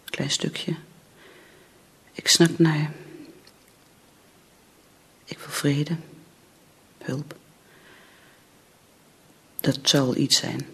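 An actress playing a desperate, frightened alcoholic woman in a radio drama, with a husky, breathy voice and halting, broken phrases. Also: low-pitched, steady tone, a bit bright, heavily acted. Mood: despairing, halting. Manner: halting, broken phrases, often near whispering.

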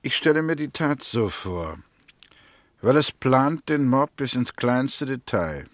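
Prosecutor in an old german radio drama, mid voice, no room sound, suspicious, sure of himself, step by step. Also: male voice, medium pitch, sing-song, no echo. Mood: deliberate, narrating. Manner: deliberate, insistent, narrates theories step by step. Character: male prosecutor, suspicious, confident, building his case. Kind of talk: 1960s German radio play acting.

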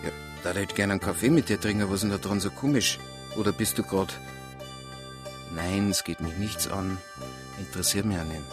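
A man's voice: low-pitched, brisk, lightly acted. An actor playing a dry, inquisitive small-town policeman in a radio drama, talking casually in a Bavarian accent. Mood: coaxing. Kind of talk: naturalistic radio drama dialogue. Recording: music behind the voice.

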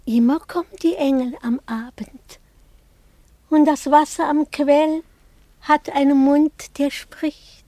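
A plaintive old peasant mother speaks in a high voice with sing-song, lamenting phrases. She sounds devout and simple, in a closely recorded, dry radio drama. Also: fairly even intonation, slow pace, mellow, no echo. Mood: tearful, lamenting.

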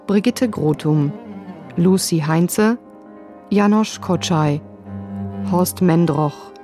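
Female announcer for radio drama credits, formal scripted read, lowish pitch, soft mellow tone, orderly delivery. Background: faint string music.

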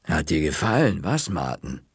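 A man voicing a smug, quietly threatening woman librarian, speaking slowly, in a storybook reading. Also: very deep voice, very animated, slightly rough, no echo, studio quality, voice pushed down, heavily acted. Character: sly menacing woman librarian, middle-aged.

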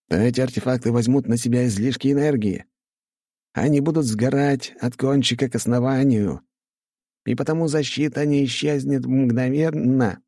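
A man playing an excitable, obsessive artificer in an audiobook, with a strained, raspy and agitated delivery. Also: medium pitch, no room sound, clean studio sound, pitched above the performer's own voice, natural voice. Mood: eager, excited. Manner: agitated, emphatic. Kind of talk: audiobook character acting.

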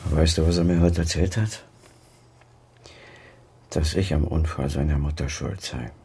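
An actor in a German radio crime drama playing a defensive, guilt-laden senior doctor and father with a husky, clipped delivery. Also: extremely low pitch, steady tone, unhurried, dark tone, heavily acted. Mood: subdued, troubled. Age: middle-aged or older.

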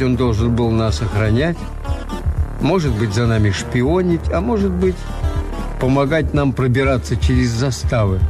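An actor playing a detached hotel owner who narrates his own story in a measured, understated radio drama style. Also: medium pitch, close to an everyday voice.

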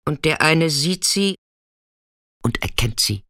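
A man performing scripted literary narration in a deliberate, dramatic way, sometimes dropping to a hushed near-whisper. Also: a little high, animated, dry recording, studio quality.

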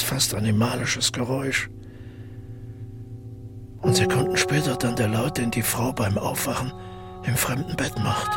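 An actor playing a brooding, haunted man who tells his past in a halting, intimate audio drama monologue with a husky, gravelly voice. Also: mid-range voice. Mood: tender, wistful. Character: brooding, haunted male first-person narrator recalling the past.

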